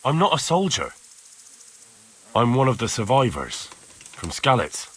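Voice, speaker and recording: A man playing an earnest, determined young survivor in a video game cutscene, husky and probing. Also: medium pitch, huge pitch swings, a little slow, fairly bright tone, exaggerated voice. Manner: probing, insistent.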